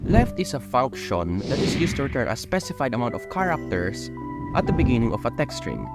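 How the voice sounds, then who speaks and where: A man's voice: medium pitch, a little fast, over music. Filipino young man explaining spreadsheet steps. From Philippines. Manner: steady explanatory step-by-step delivery. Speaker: tutorial host. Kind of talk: screen-recorded software tutorial.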